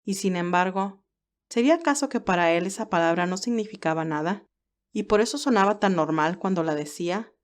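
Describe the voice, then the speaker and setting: A woman's voice: fairly low pitch, brisk. A woman reading scripted fiction as the narrator of an audio story.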